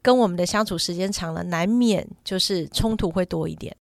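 A woman's voice: lowish voice, fairly quick, vocal fry, dry recording, clean studio sound. A woman, likely middle-aged, co-hosting a conversational parenting podcast and explaining her points step by step. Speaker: podcast co-host and mother.

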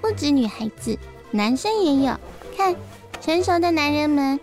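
Little girl, very high pitch, cheeky, blunt, Taiwanese accent, radio drama. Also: animated, moderate pace, over music. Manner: blunt, teasing, childlike. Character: bold, cheeky young daughter with grown-up opinions. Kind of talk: Mandarin radio drama acting.

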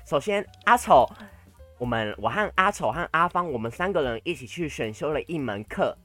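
A man's voice: on the high side, expressive, normal speed, bright, forceful tone, soft background music. A young man with a Taiwanese Mandarin accent co-hosting a podcast in casual banter with a playfully theatrical manner. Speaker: podcast co-host.

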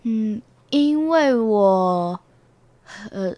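A woman's voice: on the high side, lively intonation, very slow, warm tone, no room sound. Young Taiwanese woman with a dry, close sound. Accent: Taiwanese Mandarin. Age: young adult. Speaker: student interviewee. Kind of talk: casual interview answers.

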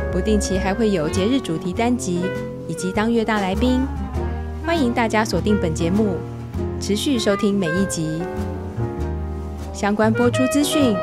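A woman radio host speaks Mandarin with a Taiwanese accent at a medium pitch. She moves between scripted show introductions and interview hosting, with music loud behind her.

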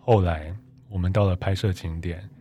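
A man's voice: deep voice, steady tone, moderate pace, mellow, slight background noise. A man reading scripted first-person narration for an audio drama. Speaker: photographer narrating his own book. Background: music.

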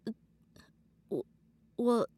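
An actress playing a gentle, timid mother who speaks softly and stammers. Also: drawn-out words, no echo, clean studio sound. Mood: hurt. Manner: soft, hesitant, stammering. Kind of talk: radio drama acting.

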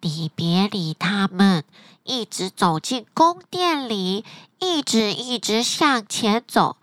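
A woman voicing a small, eager, helpful talking fox in a children's bedtime story, speaking in a high, hurried voice. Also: very animated, fairly bright tone, dry recording, clean studio sound. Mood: friendly advising. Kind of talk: storybook character voice.

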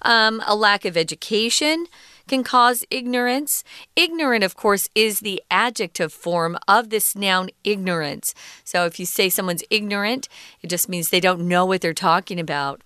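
A woman teaching English. She reads a passage aloud and patiently explains each word, often pausing with "um" and "uh.". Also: mid-range voice, pushed and bright, clean tone, no room sound, studio quality. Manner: patient, defines words step by step; frequent ums and uhs.

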